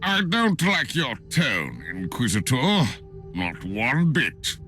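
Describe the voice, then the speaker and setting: Sharp, imperious zealot inquisitor. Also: male voice, medium pitch, huge pitch swings, slow, soft background music, heavily acted. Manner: pompous, imperious, forceful. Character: stern, haughty, zealous male rival inquisitor. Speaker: game character. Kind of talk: dramatic video game cutscene acting.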